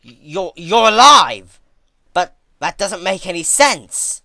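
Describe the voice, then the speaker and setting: Young female pony, cruel and indignant played by a man; high, swooping delivery for a dark story reading. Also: expressive, slow pace, creaky, dry recording, studio quality, exaggerated voice. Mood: shocked, yelling. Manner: stammering, shouting in disbelief.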